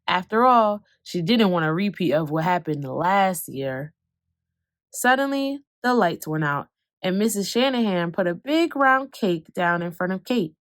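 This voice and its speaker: A woman reading a children's picture book aloud, expressive, slowing down for calm moments and speeding up for excitement. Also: fairly low pitch, dry recording, clean studio sound. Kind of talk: read-aloud storytelling.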